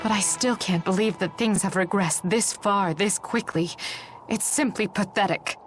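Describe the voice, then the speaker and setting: A woman's voice: mid-range voice, normal speed, lightly acted. An actress playing a proud, disillusioned noblewoman in an English video game. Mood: indignant, troubled. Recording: background music under the voice.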